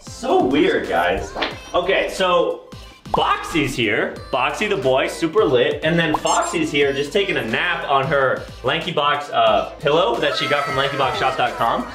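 Male gaming host, twenties, playful and over-dramatic, lively swings in pitch, recorded in a roomy space. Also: a little slow. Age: 20s. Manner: exaggerated, theatrical reactions. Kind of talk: playful video game commentary for children. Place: small indoor room. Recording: roomy sound with background music. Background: music.

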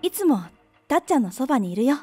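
A woman's voice: high-pitched, very animated, fast talker, slightly rough, no echo, clean studio sound, heavily acted. A woman voicing a female pet cat who is frank and teasing but devoted, in an audio drama. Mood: tender. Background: soft background music.

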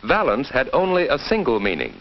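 A man's voice: mid-range voice, sing-song, brisk, a little hiss or hum. American guy narrating an old 40s school film, super swoopy intonation, muffled sound. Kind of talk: scripted 1940s educational film narration.

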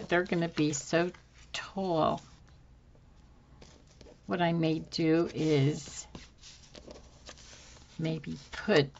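A woman hosting a casual crafting video at her craft table, a bit hoarse, thinking aloud as she works with many ums. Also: sits low, lo-fi sound, a little hiss or hum. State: slightly hoarse from dry throat. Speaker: craft channel host. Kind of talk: casual crafting tutorial chat. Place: home craft table, indoors.

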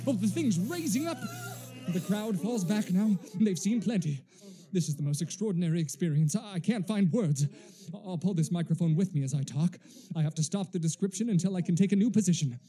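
High-voiced, alarmed radio reporter. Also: male voice, expressive, brisk, warm tone, soft background music. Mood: urgent, tense. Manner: breathless, stammering, dramatic. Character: awestruck, increasingly terrified male radio field reporter. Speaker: radio play actor. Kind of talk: 1930s radio acting, eyewitness news report.

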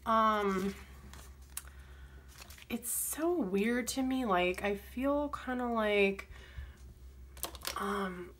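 A woman's voice: extremely slow, long pauses. Chatty woman with a crackly voice. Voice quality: strained, crackly voice that drops deep. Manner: rambling, chatty, soft asides. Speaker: planner vlogger. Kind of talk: casual plan-with-me chatter. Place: home desk, camera overhead on planner.

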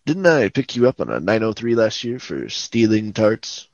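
A man acting a deadpan, polite knight detective in a parody of fifties radio police drama, with a husky voice. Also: lowish voice, moderate pace, dry recording, lo-fi sound. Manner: deadpan, clipped police patter.

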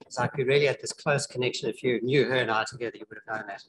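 A man's voice: medium pitch, steady tone, racing, fairly bright tone, a little hiss or hum, rough recording. Rambling older man giving a talk. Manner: chatty, rambling, with many restarts. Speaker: guest lecturer. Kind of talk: informal lecture. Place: lecture theatre, indoors.

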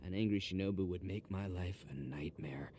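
A man's voice: low voice, moderate pace, a little mellow, slight background noise, close to an everyday voice. A male actor voicing a brash, boastful, big-hearted young oni gang boss in a video game, with a cocky, slangy, theatrical delivery. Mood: grudging. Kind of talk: animated game character voice lines.

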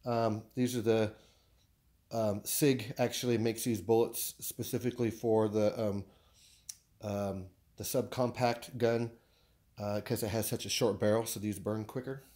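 A man with an American accent chatting casually and haltingly, thinking aloud as he shows off purchases in a living room. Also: on the low side, steady tone, warm tone. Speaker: vlogger showing purchases. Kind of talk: casual unboxing chat.

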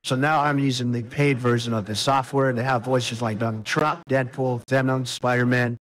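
A man voicing a male character through an AI voice changer, listing software features. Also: mid-range voice, flat delivery, moderate pace. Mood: matter-of-fact.

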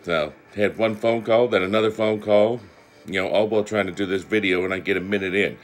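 A man's voice: lowish voice, fairly even intonation, a little fast. A man, chatting casually to his phone camera in a blunt, folksy way, telling stories. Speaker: amateur video blogger. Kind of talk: casual chat to camera, storytelling. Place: small indoor room with panel ceiling. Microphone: phone at arm's length.

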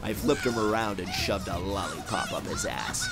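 Theatrical man narrating, highly animated. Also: moderate pace. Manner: theatrical, self-serious. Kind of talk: dramatic first-person scripted comic narration. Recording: music under the narration.

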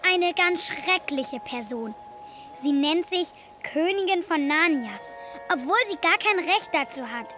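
An actress playing an earnest, excitable little girl in a German audio drama. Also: sits high, measured pace, music in the background. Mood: earnest, grave. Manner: excitable, insistent.

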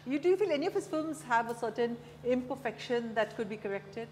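Lively-voiced Indian woman interviewer. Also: a little high, fairly quick. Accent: Indian English. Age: middle-aged. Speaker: interviewer, journalist. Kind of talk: conversational interviewing. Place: indoor café-like room, seated at a wooden table. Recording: reverberant room with clear background noise.

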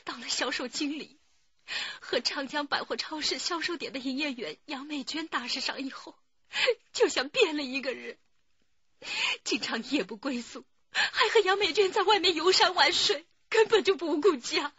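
An actress playing an aggrieved, jealous wife with a husky voice in a radio drama. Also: female voice, sits high, moderate pace, sharp tone, dry recording, highs cut off.

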